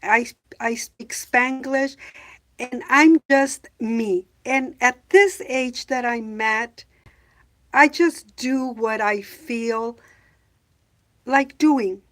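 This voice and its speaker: A woman's voice: fairly high pitch, extremely slow, fairly bright tone. A middle-aged woman from Mexico talking casually to camera, hesitant with many fillers and switching between English and Spanish. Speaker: vlogger. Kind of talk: casual bilingual vlog talk.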